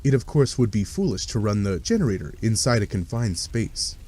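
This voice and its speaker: A man's voice: lowish voice, animated, fairly quick, mellow, husky. A man reading scripted second-person webcomic narration with wry, dry asides. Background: music.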